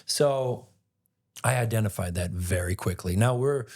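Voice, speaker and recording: Raspy-voiced male actor, chatty guest spinning a story, loose and animated. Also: fairly low pitch, measured pace. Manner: animated, rambling retelling with many "like"s. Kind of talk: casual storytelling interview.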